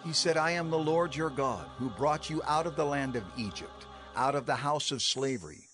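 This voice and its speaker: A man's voice: a little high, lively intonation, moderate pace, fairly bright tone, faint music behind. An actor playing a male prophet and leader in a dramatized scripture reading, solemnly exhorting his people in an emphatic, oratorical manner. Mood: solemn command.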